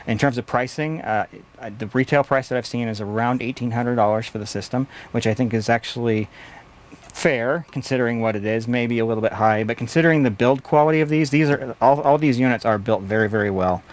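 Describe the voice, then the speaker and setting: A man's voice: medium pitch, a little fast. A man in his forties giving an explanatory product review at a desk in a small studio office. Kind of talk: explanatory gear review to camera.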